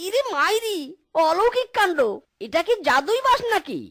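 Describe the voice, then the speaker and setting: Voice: very high voice, sing-song, normal speed. An actor voicing a mischievous little fox in a cartoon, exclamatory and taunting with gleeful prankster energy. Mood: indignant, amazed. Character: mischievous, cheeky little fox who plays vengeful pranks. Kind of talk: cartoon character acting. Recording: music behind the voice.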